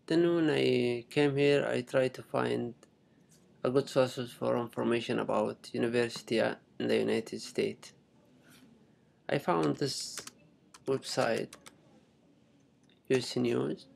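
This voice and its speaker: A young man from Saudi Arabia with a Saudi Arabic accent, a native Arabic speaker, giving a halting, unscripted step-by-step screen tutorial in simple phrases. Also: medium pitch, steady tone, gravelly, a little mellow. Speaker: international student giving a tutorial. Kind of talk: unscripted screen-recording walkthrough.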